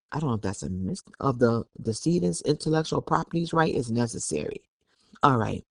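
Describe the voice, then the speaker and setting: A man's voice: a little high, no room sound. A man hosting a podcast, reading documents aloud and giving opinionated, conversational commentary. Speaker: podcast host.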